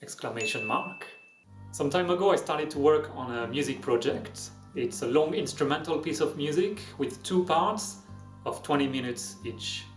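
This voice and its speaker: A man's voice: a little high, lively intonation, moderate pace, echoey room. A man with a French accent, hosting a music-making vlog and explaining his work with wry, self-deprecating humor. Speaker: musician and video host. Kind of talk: casual explanatory vlog narration.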